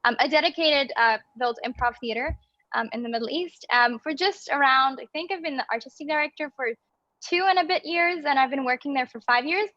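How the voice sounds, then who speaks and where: A young woman from Belgium chats through a video call in an upbeat, bubbly way, with a light laugh slipping between phrases. Her voice sits high and sounds bright and crisp. The audio is dry but a little dull, as a call line is. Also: a little fast, no room sound. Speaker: guest, improv theater artistic director. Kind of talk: casual interview chat.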